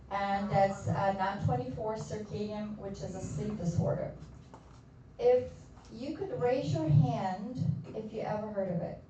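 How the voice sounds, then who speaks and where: A woman's voice: a little high, mellow. A woman from Poland with a Polish accent, a nurse practitioner giving a chatty health talk to a group in a community room. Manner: friendly, chatty, addresses the audience directly. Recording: distant roomy echo, slightly dull.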